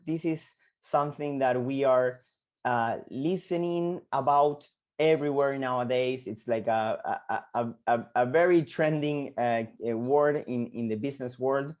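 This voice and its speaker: Argentine man coaching with halting repeats. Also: medium pitch, darkish tone. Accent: Argentine Spanish. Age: 30s. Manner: halting, frequent repeated words and fillers. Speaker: entrepreneurship coach. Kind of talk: online lecture to students.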